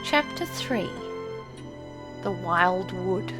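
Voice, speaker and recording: A woman reading a classic storybook as an audiobook narrator, in a measured, expressive way. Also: on the low side, over music.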